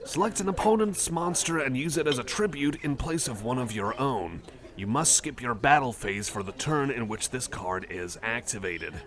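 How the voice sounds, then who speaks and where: A man's voice: medium pitch, lightly acted. A man playing a friendly, nerdy cyborg card enthusiast in a casual ASMR roleplay, rambling hesitantly and apologetically. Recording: cafe crowd chatter behind. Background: busy cafe chatter.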